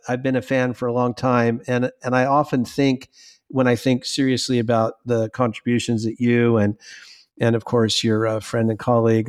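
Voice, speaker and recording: Male podcast host, a bishop, asks rambling questions in a chatty way, mid-pitched voice, remote call. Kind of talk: conversational interview.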